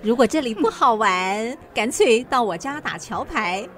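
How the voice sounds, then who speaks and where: A woman's voice: on the high side, lively intonation, a little slow, fairly bright tone. A woman acting in a radio drama as a coquettish, sociable society madame with a coaxing, theatrical manner. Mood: soothing.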